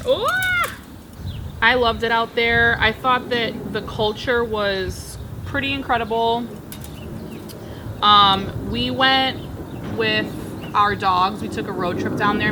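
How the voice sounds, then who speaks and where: A woman's voice: medium pitch, noisy background. A woman in her thirties chatting casually as she repots plants outdoors on a backyard patio, recorded by a camera across the table. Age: 30s. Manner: chatty, upbeat, talks while working with her hands. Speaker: plant vlogger. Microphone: camera framing from across a table.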